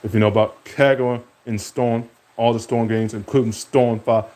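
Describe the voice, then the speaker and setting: A man's voice: fairly even intonation, moderate pace, darkish tone. A young man streaming, rambling from topic to topic in casual talk and speaking to an absent rival. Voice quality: deep voice.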